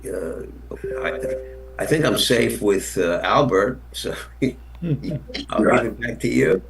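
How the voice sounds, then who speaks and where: Very deep, gravelly, husky man, distant. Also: a bit bright, soft background music. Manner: halting, thoughtful, full of fillers. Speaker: participant on video call. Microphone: video call.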